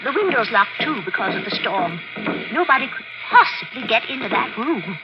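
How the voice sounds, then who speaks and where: Excitable, high-voiced proper lady. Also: normal speed, highs cut off. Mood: anxious. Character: excitable, indignant lady, old family friend. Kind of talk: old-time radio mystery acting. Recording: old radio drama, band-limited, music behind.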